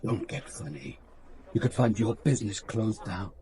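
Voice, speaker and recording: An actor playing a dogged, dry police inspector in a radio crime drama, curt in questioning and measured in narration. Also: male voice, lowish voice, warm tone, husky. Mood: pressing, stern.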